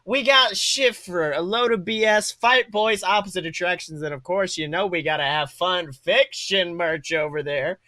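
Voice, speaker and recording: A podcast co-host chatting casually in an animated, sweary way. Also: mid-range voice, normal speed, hardly any pauses, no echo.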